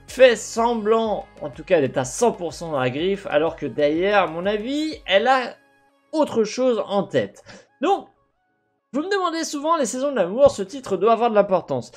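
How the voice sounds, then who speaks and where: Young male gaming host, lively intonation, halting casual commentary over faint music. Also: high-pitched. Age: young adult. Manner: animated, halting, asks himself questions. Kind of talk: casual speculative commentary to viewers. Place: indoor gaming setup.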